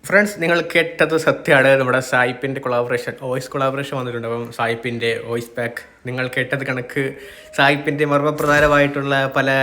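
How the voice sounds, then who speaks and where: A man's voice: a little high, fairly bright tone. A man with a Malayali accent giving casual voice-over commentary as host of a gaming video.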